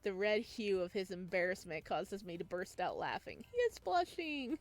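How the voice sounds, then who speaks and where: A woman's voice: mid-range voice, sing-song, normal speed. A woman reading a visual novel aloud as a let's play host, with casual, amused asides.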